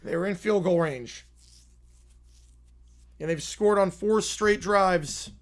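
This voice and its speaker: A man with a worn, hoarse voice calling college football play-by-play live on a stream, talking to chat between plays. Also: high voice, takes it slowly. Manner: excited, rising commentary on big plays, quieter asides to chat.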